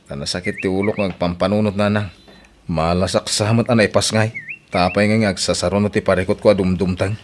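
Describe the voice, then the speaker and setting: A man's voice: low-pitched, fairly even intonation, brisk, slight background noise, lightly acted. A man with an Ilocano accent playing a stubborn, troubled, hard-drinking husband in an audio drama. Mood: weary, defensive.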